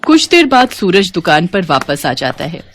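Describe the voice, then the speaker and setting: A woman's voice: on the low side, sing-song, moderate pace, a little hiss or hum. A woman reading a scripted devotional moral story as its narrator.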